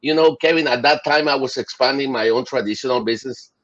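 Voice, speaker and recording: A man's voice: mid-range voice, a bit bright. Enthusiastic man, lo-fi. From Chile and Puerto Rico. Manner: enthusiastic, halting with many fillers. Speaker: interview guest. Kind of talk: casual interview. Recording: dull lo-fi audio.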